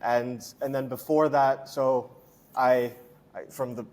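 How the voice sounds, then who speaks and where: A man's voice: medium pitch, takes it slowly. Young male coach, from Hong Kong; casual, rambling, filler-heavy interview talk. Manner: relaxed, chatty, many fillers and restarts. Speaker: strength and conditioning coach.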